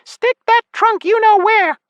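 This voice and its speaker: A tiny, furious fairy girl from a fantasy audiobook, acted out by a man. The voice is very high and sharp, shrieking and hissing scornful jabs like an offended child. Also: a little slow, no room sound, lo-fi sound. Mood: indignant, scolding. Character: shrill, bad-tempered little fairy girl, scolding.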